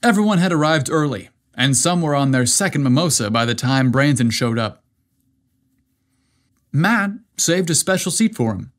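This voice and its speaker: A man's voice: on the high side, expressive, normal speed. Male podcast storyteller. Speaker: podcast host and story narrator. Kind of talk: scripted story narration.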